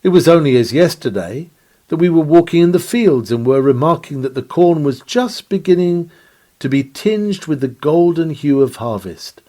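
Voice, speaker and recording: Guy preaching an old victorian style sermon, soft dark mellow voice, earnest and dramatic, very formal wording. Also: a little high, expressive, normal speed. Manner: oratorical, earnest, formal archaic phrasing. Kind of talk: dramatic read-aloud 19th-century sermon.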